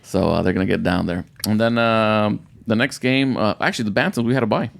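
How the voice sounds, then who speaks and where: A man's voice: fairly low pitch, fairly quick, gravelly. An American man, a youth football coach, hosting a casual podcast with upbeat, repetitive chatter. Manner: upbeat, repeats himself, says outstanding a lot.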